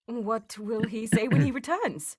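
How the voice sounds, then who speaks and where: A woman's voice: fairly high pitch, very animated, a little slow, darkish tone, slightly rough, full character voice. A woman playing an eager, anxious young Victorian lady, melodramatic and earnest, in a 1940s radio-play style, with noise behind her. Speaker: voice actor in a live radio play. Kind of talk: 1940s radio drama, performed cold.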